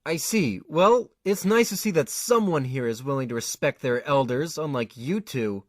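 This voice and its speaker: An insecure young male student acted by a man with a fairly high, lively voice in a dry recording. He sounds defensive, earnest and slightly whiny, in the manner of an anime audio drama. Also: moderate pace, no room sound. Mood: indignant.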